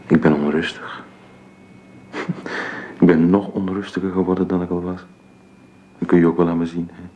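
An actor a radio play playing an earnest, restless suitor, speaking intimately. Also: male voice, deep voice, flat delivery, normal speed, warm tone, a little hiss or hum, close to an everyday voice. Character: earnest, restless male suitor pressing for closeness.

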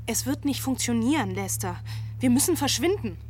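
A woman's voice: on the high side, animated, moderate pace, darkish tone, a little hiss or hum, lightly acted. A woman acting in a German radio drama as an anxious, impatient woman who keeps questioning. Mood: anxious, insistent. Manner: urgent, questioning.

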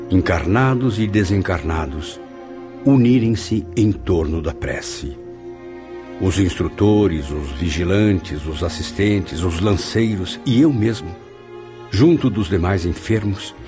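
An actor playing a reverent memoirist who narrates a radio drama in a solemn, measured way. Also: male voice, deep voice. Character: reverent male spirit narrator recounting his afterlife memoir. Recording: music behind the voice.

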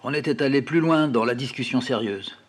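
A man telling a first-person childhood memory in a plain, unhurried, quietly reflective way. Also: mid-range voice, breathy edge. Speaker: storyteller. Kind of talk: first-person memoir narration.

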